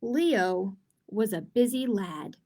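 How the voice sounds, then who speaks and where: A woman hosting a children's storytime, reading picture books expressively and speaking deliberately, straight to her young listeners. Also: medium pitch, lively intonation, dark tone. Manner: slow, deliberate, addressing young listeners directly. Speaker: children's librarian storytime host. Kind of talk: expressive picture book read-aloud for children.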